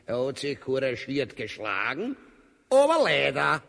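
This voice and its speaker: A man's voice: medium pitch, huge pitch swings, unhurried, gravelly, fairly bright tone, exaggerated voice. A man with an Austrian accent playing a pompous, cowardly, boastful finance official in a comic radio drama, long-winded and mock-solemn. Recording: studio radio play with long hall reverb.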